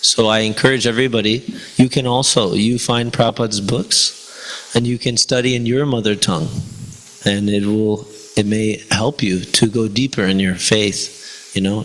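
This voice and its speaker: Male teacher, Spanish first language, gravelly voice, casual class talk. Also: mid-range voice, fairly bright tone, low-quality recording. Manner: conversational, many fillers and rhetorical "right?". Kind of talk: informal devotional class talk.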